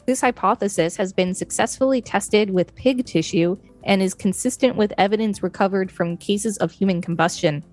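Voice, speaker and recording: A woman podcast co-host with a mid-pitched voice reads an article aloud and drops in casual asides. Faint music plays behind her. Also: moderate pace, hardly any pauses, darkish tone.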